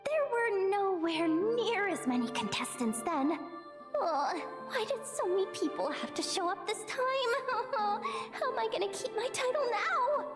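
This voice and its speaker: A small, proud young champion from a video game. The voice is very high and animated, self-important with a worried streak. Music is clearly audible underneath. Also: female voice, measured pace. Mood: anxious.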